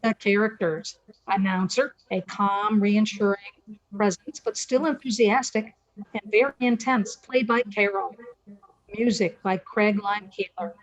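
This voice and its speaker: A woman announces a radio play from a script. Her voice sits at a middle pitch, and the recording is a little dull and lo-fi. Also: a little slow, dry recording. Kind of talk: scripted radio show introduction.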